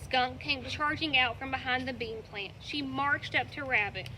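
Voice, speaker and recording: A woman narrates a children's puppet story in a high voice. She reads scripted storytelling lines, with clear noise in the background of the recording. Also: moderate pace. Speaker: storyteller, library staff puppeteer.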